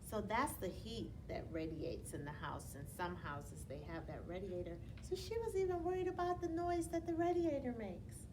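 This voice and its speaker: A woman reading a children's bedtime story aloud in a teacherly, expressive way, putting questions to her young listeners as she goes. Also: mid-range voice, moderate pace, hardly any pauses, background noise. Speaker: elementary school storyteller reading to students.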